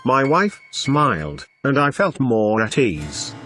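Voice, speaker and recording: A man reading a scripted first-person horror story as an expressive narrator. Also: mid-range voice, takes it slowly. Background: background music.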